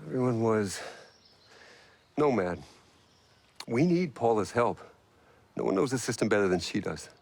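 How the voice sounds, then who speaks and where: A man's voice: mid-range voice, expressive, unhurried, long pauses, darkish tone. An actor playing a brilliant male engineer in a video game cutscene, earnest and pressing as he explains. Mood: urgent.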